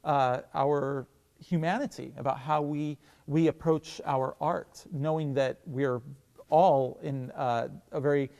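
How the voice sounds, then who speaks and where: A man's voice: fairly high pitch, fairly even intonation, slow, gravelly, a little mellow, in a large hall. A man, a theatre director, answering interview questions in a thoughtful, enthusiastic way with many fillers and restarts.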